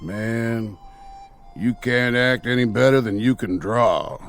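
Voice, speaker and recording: An actor playing a ghostly old-west outlaw who talks in a slow frontier drawl. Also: male voice, medium pitch. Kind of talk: radio drama acting. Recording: radio drama with music behind.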